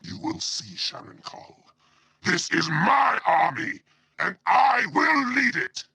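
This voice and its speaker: Gravelly, growling monster warlord. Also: male voice, very high-pitched, very animated, pushed and bright, dry recording, exaggerated voice. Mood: sneering menace. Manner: menacing, contemptuous, slow, drawn-out threats. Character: brutal, boastful monster warlord leading an army. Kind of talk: video game villain voice acting.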